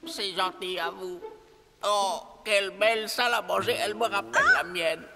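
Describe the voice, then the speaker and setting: Enchanted frog prince, high voice, polite, insistent, children's cartoon, long reverb. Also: male voice, moderate pace, gravelly. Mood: polite, pleased. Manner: courteous, formal, pleading. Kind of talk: children's fairy-tale cartoon acting. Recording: long reverb, music behind.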